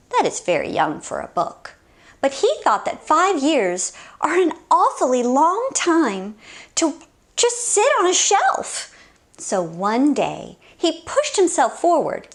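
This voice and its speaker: A woman hosting a children's story time, reading a picture book aloud in an expressive, sing-song, exclaiming way. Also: high-pitched, takes it slowly.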